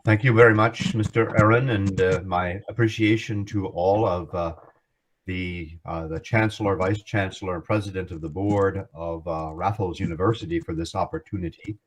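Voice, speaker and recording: An American man in his sixties giving a formal law lecture, measured and hesitant with frequent fillers. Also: low-pitched, fairly even intonation, a little hiss or hum, poor audio. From United States. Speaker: guest lecturer, law professor.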